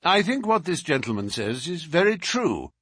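A man's voice: fairly high pitch, very animated, moderate pace, fairly bright tone, slightly rough, no room sound, clean studio sound, voice pushed up, full character voice. A man voicing a cool adult male detective who asks precise questions. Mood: probing. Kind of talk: audiobook character reading.

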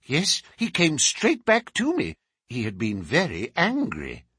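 A man voicing an excitable elderly male collector, eager and breathless. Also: medium pitch, measured pace, breathy edge, no echo, studio quality, voice pushed up, exaggerated voice. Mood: mild. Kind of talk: audiobook character reading.

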